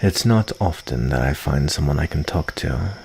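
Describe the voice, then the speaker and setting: A man playing a calm, lonely, guarded vampire of the forest in an ASMR roleplay, with formal, dryly teasing phrasing. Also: rumbling low, a little hiss or hum. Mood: resigned, guarded. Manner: unhurried, soft-spoken formal phrasing with dry teasing. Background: cricket ambience.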